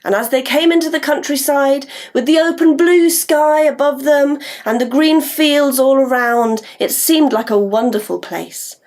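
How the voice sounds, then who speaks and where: A British woman telling a story to young children in an animated way and coaxing them to join in. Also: sits high, unhurried, few pauses.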